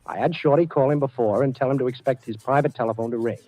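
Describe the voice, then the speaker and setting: An actor playing a cool, wisecracking amateur sleuth in a 1940s radio drama. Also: male voice, medium pitch, quick pace, mellow, no echo, lightly acted. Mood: confident. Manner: wry, unflappable. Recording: muffled old radio drama recording.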